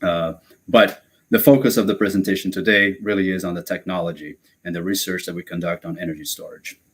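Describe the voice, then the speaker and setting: Brazil-born male lecturer, very low voice, halting and filler-heavy. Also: steady tone, slightly rough. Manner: hesitant, many fillers and restarts. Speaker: guest lecturer. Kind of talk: academic webinar lecture.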